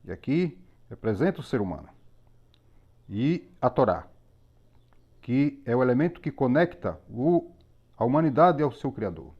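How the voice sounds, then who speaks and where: A man teaching a religious lesson on Hebrew letters, explaining methodically with pauses and repetition. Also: mid-range voice, sing-song, breathy edge. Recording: slightly dull lo-fi recording.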